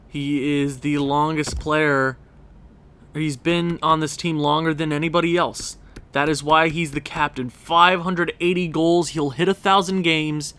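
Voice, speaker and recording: A man's voice: fairly high pitch, fairly bright tone. A young man with a stuffed-up, congested voice, chatting casually and rambling as he narrates a hockey management game for his channel. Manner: chatty, rambling, self-correcting. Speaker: gaming YouTuber. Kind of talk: casual gameplay commentary.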